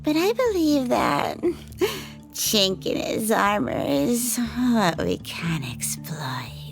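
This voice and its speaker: An actress playing a composed, cunning woman who speaks in a measured, formal way in an audio roleplay. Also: medium pitch, very animated, soft background music, full character voice. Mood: calm, scheming. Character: composed, cunning woman, devoted partner and schemer. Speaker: lead character in an audio drama. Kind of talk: ASMR audio roleplay acting.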